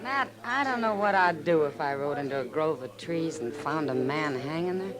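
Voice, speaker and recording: A woman's voice: low voice, animated, moderate pace. An actress playing a concerned, thoughtful frontier woman, speaking intimately in a 1950s radio western. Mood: troubled, reflective. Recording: old radio drama, murmuring crowd behind.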